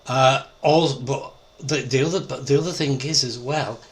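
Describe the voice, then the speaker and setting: A man presenting an informal amateur radio tutorial in a halting, step-by-step way. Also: mid-range voice, slight background noise.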